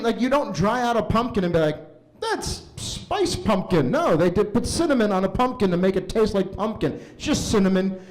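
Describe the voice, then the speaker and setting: A man in his late thirties doing a stand-up set at an open mic in a basement, telling stories animatedly and jumping into high voices for quoted lines. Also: a little mellow. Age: 30s. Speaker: stand-up comedian. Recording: roomy basement echo.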